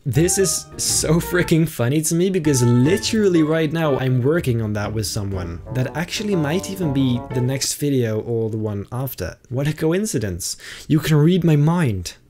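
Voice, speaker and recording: A man's voice: on the high side, moderate pace. Young man chatting casually over music. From Netherlands. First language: Dutch. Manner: relaxed, hesitant, with frequent fillers and trailing asides. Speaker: YouTuber answering viewer questions. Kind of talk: casual Q&A chat. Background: background music.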